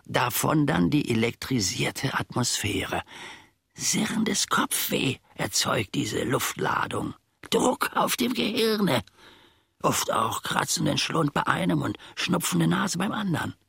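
An actor playing an aggrieved, brooding old manservant in a theatrical radio play, with stilted archaic diction and sudden drops into whispering. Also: male voice, fairly high pitch, sing-song, takes it slowly, gravelly, a bit bright, slightly rough, dry recording, clean studio sound, full character voice. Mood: fretful lament. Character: aggrieved, brooding old manservant scheming against his master.

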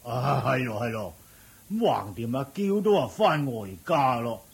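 A man's voice: medium pitch, animated, unhurried, creaky, breathy edge, full character voice. A man playing a fussy, well-meaning old villager in a radio drama, stammering and sighing, with a Hong Kong Cantonese accent. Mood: soothing, fussy.

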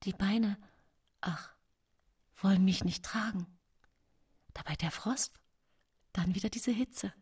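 An actress playing a meek, self-righteous woman accused of poisoning, justifying herself in a measured, plaintive radio drama monologue. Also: mid-range voice, a little mellow, slightly rough. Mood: anguished.

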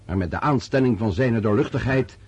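An actor in a Dutch radio drama playing a loyal, plain-spoken battalion officer, hearty and respectful toward superiors. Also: male voice, mid-range voice, a little fast, darkish tone, husky, dry recording. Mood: earnest, indignant.

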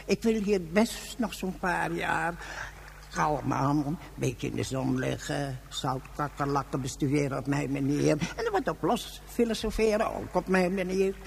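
A stubborn, philosophising old hermit in a sci-fi radio play. The voice is fairly high and gravelly, swinging freely as he rambles in gruff slang. There is faint noise behind him. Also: a little slow. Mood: musing, stubborn. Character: stubborn ageing hermit of the salt gorge, philosophising. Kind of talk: Dutch science fiction radio drama acting.

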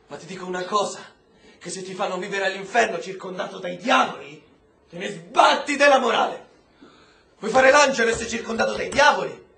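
Swaggering high-voiced streetwise prisoner. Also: male voice, a little fast, gravelly, a bit bright. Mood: sardonic, bitter. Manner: slangy, swearing, swaggering. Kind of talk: stage-style radio drama acting. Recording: roomy stage sound with faint noise.

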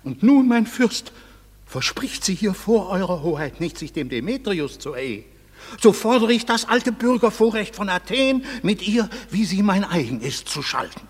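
An actor playing a stern, aggrieved father in a classical verse radio drama, declaiming indignantly with a husky voice. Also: high voice, sing-song, normal speed, heavily acted. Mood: indignant, accusing. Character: stern, aggrieved father demanding his paternal rights. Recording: hall-like reverb.

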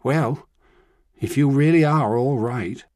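A man's voice: mid-range voice, slow pace, darkish tone, dry recording, clean studio sound, pitched above the performer's own voice, natural voice. A man reading an audiobook, voicing a fretful, fussy middle-aged daughter who asks anxious questions.

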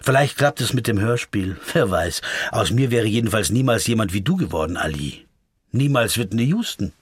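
An actor playing a wordy, brooding legal counsel who muses pedantically to himself in a literary radio play. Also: male voice, on the low side, lively intonation, normal speed, breathy edge, no room sound. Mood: musing, wistful. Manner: musing, pedantic about words, addressing himself.

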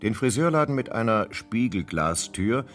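An actor as the measured narrator of a detective radio play, reading scripted storytelling. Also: male voice, on the low side, animated, close to an everyday voice. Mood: measured narration. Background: plucked guitar music.

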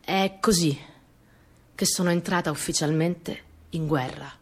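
A woman's voice: fairly low pitch, a little slow, husky. An actress playing a stubborn, eager sixteen-year-old girl, a wartime partisan courier, in an earnest theatrical monologue full of repetitions. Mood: earnest, confiding. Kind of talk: theatrical monologue, first-person storytelling.

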